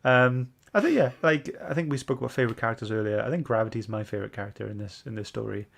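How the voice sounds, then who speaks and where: Male podcast host, medium pitch, casual and hesitant, feeling his way through his opinions. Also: rapid-fire, vocal fry, a bit bright. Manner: hesitant, searching for words. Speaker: podcast book club host. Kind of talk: casual comic book discussion.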